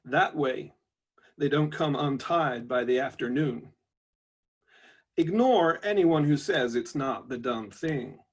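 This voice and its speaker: Man putting on a slow, husky, twangy robot father. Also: mid-range voice, animated. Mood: homespun, plain. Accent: American-style twang. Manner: slow, deliberate, pausing delivery. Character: plain-spoken male robot labourer, reflective, wryly stoic father. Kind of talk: book reading of aphoristic notebook entries.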